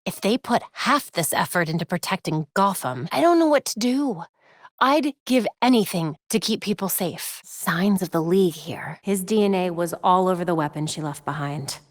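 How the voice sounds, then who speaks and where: A young woman with an American accent voicing a determined, quick-witted vigilante detective in a video game, focused and conversational. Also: fairly low pitch, lively intonation, no echo, clean studio sound, natural voice. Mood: earnest.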